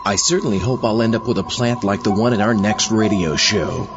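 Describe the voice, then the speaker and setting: A man's voice: on the low side, brisk, highs cut off, music in the background, close to an everyday voice. A man playing a theatrical, tongue-in-cheek zombie astronaut who hosts a comic horror radio show. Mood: wry, theatrical. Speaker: host. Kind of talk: scripted comic horror narration.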